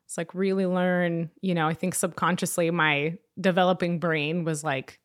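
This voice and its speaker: A woman's voice: on the low side, flat delivery, normal speed. A young woman from Ukraine, a guest in a casual interview, talking chattily with frequent laughs and fillers. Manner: chatty, laughs often, many fillers like "you know".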